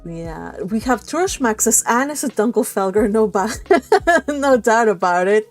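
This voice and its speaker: A woman's voice: medium pitch, animated, normal speed, soft background music. A woman chatting casually and giggly as host of a book club read-along. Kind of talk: casual chat.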